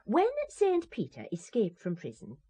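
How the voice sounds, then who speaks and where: A woman's voice: on the low side, huge pitch swings, measured pace, warm tone, breathy edge, exaggerated voice. An actress playing a devout, feisty Irish woman in an audio drama, with an Irish accent and a spirited, teasing, argumentative manner. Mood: earnest.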